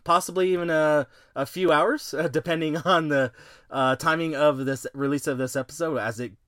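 A man's voice: a little high, steady tone, normal speed, fairly bright tone, no echo, studio quality. A man hosting a podcast, talking in a rambling, conversational way through sign-offs and plugs. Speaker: podcast host.

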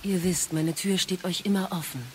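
A woman playing a wise, sorrowful exiled goddess and mother in an audio drama, speaking in a measured, solemn way. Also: fairly low pitch, fairly even intonation, slight background noise, lightly acted. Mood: gentle. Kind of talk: dramatic audio play acting.

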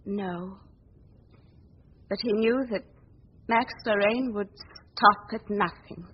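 An actress playing an earnest, anxious young woman journalist in a 1940s radio drama, speaking gravely and dramatically. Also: medium pitch, takes it slowly. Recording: muffled old 1940s radio recording.

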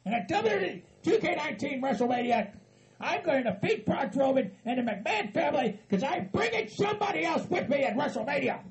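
A man voicing an angry, foul-mouthed Southern hillbilly who rants and shouts as if deeply wronged. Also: big pitch swings, brisk, creaky, crowd noise, heavily acted, low-quality recording. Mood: defiant, furious. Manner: hot-tempered, shouting, profane.

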